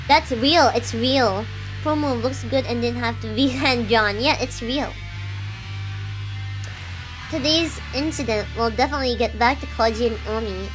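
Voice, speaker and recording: A woman's voice: a little high, rough recording. A woman streamer reading a visual novel aloud on a casual livestream, breaking off for chatty, giggly asides. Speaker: VTuber streamer. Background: soft game music.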